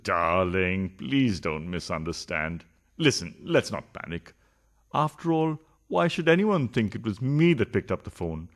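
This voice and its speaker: An actor playing a flustered, philandering husband in a farcical radio play, stammering and protesting theatrically. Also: male voice, on the low side, sing-song, unhurried. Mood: nervous.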